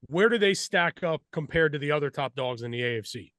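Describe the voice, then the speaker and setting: A man with a slightly high voice hosts a sports talk show, asking questions conversationally. The recording is dry, with little sound of the room. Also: lively intonation, brisk, a bit bright, no echo. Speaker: podcast host.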